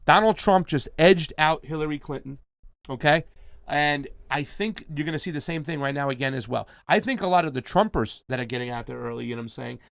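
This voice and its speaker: A man's voice: on the high side, brisk, vocal fry, a bit bright, no echo. A man hosting a live political commentary stream, chatting casually and reading out viewer comments. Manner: chatty, reads viewer chat aloud. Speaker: host.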